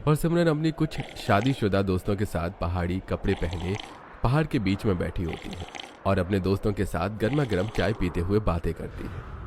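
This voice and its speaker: Low-voiced man narrating a cartoon story. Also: normal speed, noisy background.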